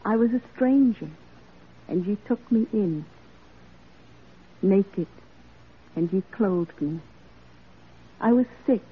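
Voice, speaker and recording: An actress playing a solemn woman reading scripture slowly in a husky voice, in 1940s radio style. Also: on the low side, full character voice. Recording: phone-like vintage radio recording.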